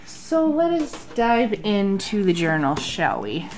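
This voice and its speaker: A woman's voice: medium pitch, sing-song, slow, slight background noise, rough recording. A woman in her thirties with a nasal voice from a sinus infection, chatting casually through her journal at a home desk with lots of ums. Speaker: bullet journal vlogger. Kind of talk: casual chat. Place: home desk under an overhead light.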